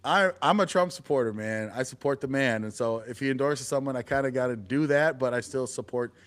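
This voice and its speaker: A man co-hosting a casual political podcast panel, talking in a relaxed, chatty way with plenty of fillers. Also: medium pitch, fairly quick. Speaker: podcast co-host, party committeeman.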